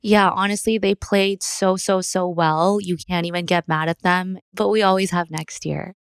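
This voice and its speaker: A woman's voice: lowish voice, steady tone, normal speed, clear, resonant tone, no room sound, studio quality. A woman hosting a podcast interview, asking conversational questions in a friendly manner. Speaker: podcast host.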